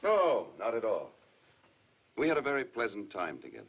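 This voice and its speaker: Low, husky troubled husband, old film. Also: male voice, huge pitch swings, moderate pace, heavily acted. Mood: polite. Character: troubled, hurt married father, reserved and courteous. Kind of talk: 1950s film drama acting. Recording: dull, lo-fi 1950s film soundtrack.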